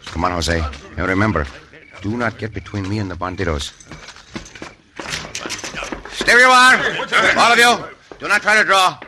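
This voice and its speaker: A man's voice: lowish voice, normal speed, long pauses, lightly acted. Bold Mexican cowboy hero played by a man, crackly recording. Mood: hushed, then commanding. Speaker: lead actor in a radio western. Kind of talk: old-time radio western acting. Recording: noisy, crackly old radio recording.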